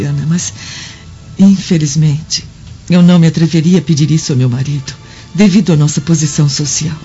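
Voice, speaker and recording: An actress playing a gentle, sorrowful Roman noblewoman and mother with soft, sighing, refined radio drama delivery. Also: low-pitched, lightly acted. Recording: muffled radio drama with music.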